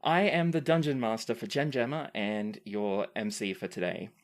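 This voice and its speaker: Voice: medium pitch, moderate pace. A podcast host and game master chatting casually, earnest and appreciative in manner. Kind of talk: casual podcast chat and sign-off. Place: remote group recording over a call.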